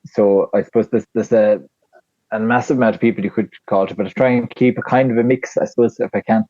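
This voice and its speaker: A man with a flat Tipperary Irish accent, chatting casually as a podcast guest, rambling with lots of fillers and restarts. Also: on the low side, fast, no echo, lo-fi sound. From Ireland. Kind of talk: casual interview chat.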